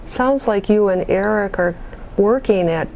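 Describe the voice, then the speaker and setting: A woman playing a patient bereavement counselor on a support call, gentle, measured and reassuring in the way she talks. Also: medium pitch, gravelly, slight background noise, poor audio. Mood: gentle, probing. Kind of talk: role-played counseling phone conversation.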